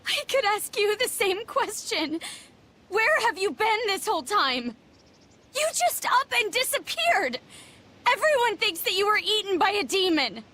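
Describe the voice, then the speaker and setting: A woman voicing a cheerful, warm young village girl in a dramatic anime-style game. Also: very high voice, heavily acted.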